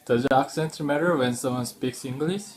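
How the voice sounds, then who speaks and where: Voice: medium pitch, brisk. An interviewer asking short set questions. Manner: brief, repeats set questions.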